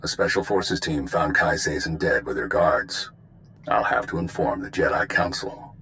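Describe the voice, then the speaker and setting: A man voicing a gruff, brisk military intelligence handler in a video game, giving clipped orders. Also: a bass voice, pushed and bright, a little hiss or hum. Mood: brisk, weary. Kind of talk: video game cutscene voice acting.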